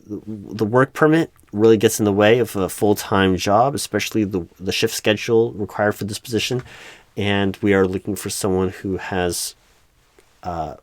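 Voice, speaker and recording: A man playing a flustered, earnest recruiting manager in a one-sided job interview roleplay, speaking haltingly and often correcting himself. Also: on the low side, dry recording. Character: flustered, unobservant male corporate recruiting manager, earnest, exasperated. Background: computer keyboard typing.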